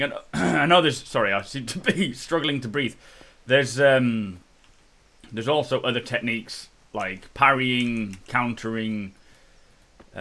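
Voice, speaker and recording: A man's voice: mid-range voice, pushed and bright. A man giving casual, chatty commentary as he plays through a video game. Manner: informal, chatty. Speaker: gaming video host.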